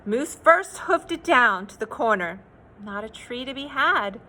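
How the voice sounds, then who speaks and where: A woman reading a children's picture book aloud in an expressive, playful, sing-song way, with asides to young listeners. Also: on the high side, measured pace. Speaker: children's read-aloud storyteller.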